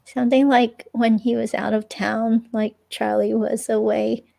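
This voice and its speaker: Woman acting hesitant, creaky-voiced ex-girlfriend under questioning. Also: a little high, level tone, a little slow, warm tone. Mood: hesitant, vague. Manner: hesitant, rambling, casual. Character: evasive, unsure young ex-girlfriend being questioned by police. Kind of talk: dramatic reading of an interview transcript, conversational.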